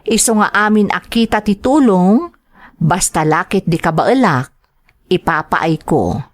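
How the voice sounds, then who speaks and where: A woman's voice: fairly low pitch, expressive, unhurried. An actress playing a dutiful young woman devoted to her family in an Ilocano drama. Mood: earnest. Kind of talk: radio drama acting in Ilocano.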